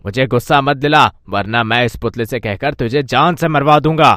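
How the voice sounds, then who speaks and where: A man voicing a quick-tempered, bickering village husband and petty thief in a cartoon, with dramatic delivery. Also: mid-range voice, a bit bright, dry recording, clean studio sound, close to an everyday voice. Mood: angry, alarmed. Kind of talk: Hindi moral-story cartoon dub.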